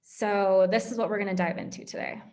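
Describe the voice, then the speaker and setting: A woman's voice: medium pitch, steady tone, fairly quick, a little mellow. A woman co-hosting a student webinar, speaking plainly and conversationally and addressing the audience directly. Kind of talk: presenting a discussion talk.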